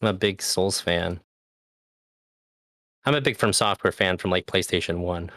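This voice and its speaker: A man's voice: deep voice, a little slow, a bit bright, no room sound, clean studio sound. A man in his thirties chatting casually, loose and talkative, swearing now and then. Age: 30s. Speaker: podcast host. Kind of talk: casual chat.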